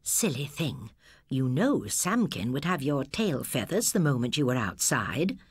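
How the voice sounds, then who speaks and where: A woman voicing a kindly, granny-like old shopkeeper in an audiobook, cosy and unhurried. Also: extremely low pitch, sing-song, husky, no echo, studio quality, voice pushed down, full character voice. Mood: gently scolding.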